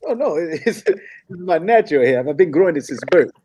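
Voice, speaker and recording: A man playing a polite, naive young man looking for love in a comedy table read, with a husky voice. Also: fairly high pitch, expressive, a little fast, darkish tone.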